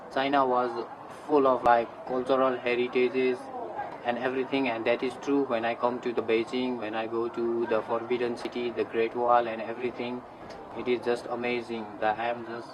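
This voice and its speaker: A man from Nepal, answering interview questions in a flat, plain way. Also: medium pitch. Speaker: student and intern. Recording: outdoors with loud street noise.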